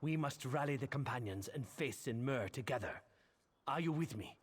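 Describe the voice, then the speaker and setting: A man's voice: medium pitch, expressive, normal speed. A man playing a commanding, determined warrior leader in a fantasy video game. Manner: commanding, resolute. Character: gruff, determined Nord warrior leader rallying his troops. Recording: clearly noisy game audio with ambience.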